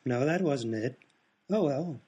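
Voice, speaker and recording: A man playing a daft, husky, mellow doctor. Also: mid-range voice, lively intonation, measured pace, muffled recording. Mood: absent-minded, breezy. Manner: rambling, oblivious, matter-of-fact. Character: daft, absent-minded male hospital doctor, cheerfully off-topic. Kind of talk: absurdist radio comedy sketch.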